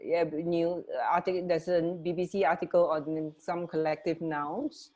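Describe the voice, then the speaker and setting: A woman's voice: lowish voice, little pitch movement, normal speed, darkish tone. A woman co-hosting a casual radio chat, phrasing things a little hesitantly. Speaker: radio co-host.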